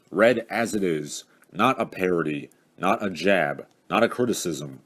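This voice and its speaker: A man's voice: low voice, husky, dry recording. A man narrating an audiobook in a pompous, theatrical manner with grandiose diction. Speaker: audiobook narrator, video host. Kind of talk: scripted audiobook reading with introduction. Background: classical orchestral music.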